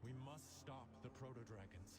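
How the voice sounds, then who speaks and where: A man's voice: mid-range voice, normal speed, heavy background noise, lightly acted. A man voicing a noble dragon steward in a fantasy video game, earnest and formal. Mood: grave, resolute. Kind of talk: scripted fantasy game dialogue. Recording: loud game music behind. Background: loud music.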